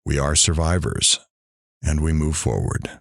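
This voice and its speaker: Man playing radio talk host, very low voice, calm, earnest, measured, reassuring, dry studio sound, audio drama. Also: gravelly, no echo, full character voice. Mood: reflective.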